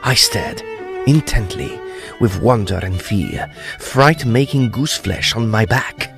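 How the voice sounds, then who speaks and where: A man's voice: mid-range voice, expressive, takes it slowly, vocal fry, over music. An actor playing a grave, intrepid ghost hunter, narrating his own ghost story in a theatrical, suspenseful way. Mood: awed, tense. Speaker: actor narrating an audio drama. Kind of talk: dramatic first-person ghost story narration.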